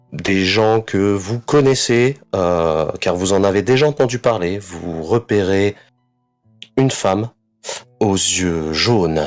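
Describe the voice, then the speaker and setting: Low-voiced man narrating as game master. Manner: descriptive, measured narration with fillers. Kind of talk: narrating a tabletop role-playing game.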